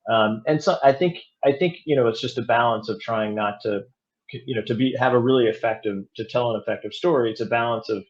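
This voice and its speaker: A man chatting casually as an interview guest, thinking aloud in a halting, self-correcting way. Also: medium pitch, highs cut off. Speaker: audio drama producer and director, interview guest.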